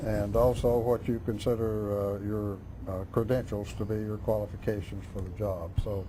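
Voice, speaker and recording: Middle-aged male host, halting speech. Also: on the low side, flat delivery, noisy background. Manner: halting, many "uh" fillers. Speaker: talk show host. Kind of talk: hosting a local candidate forum.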